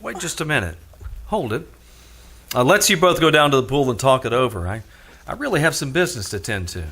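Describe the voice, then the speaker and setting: A man's voice: mid-range voice, very animated, a little fast, a bit bright, slightly rough, exaggerated voice. A man acting a wry, laconic hardboiled private detective in a radio drama, narrating his own case and talking to others. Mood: wry, suave. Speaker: lead actor and narrator. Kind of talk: old-time radio detective drama, first-person narration.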